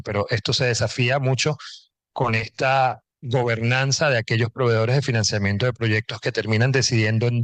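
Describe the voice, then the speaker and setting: A man's voice: mid-range voice, no room sound. A man moderating a panel, articulate and posing long framing questions. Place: conference pavilion.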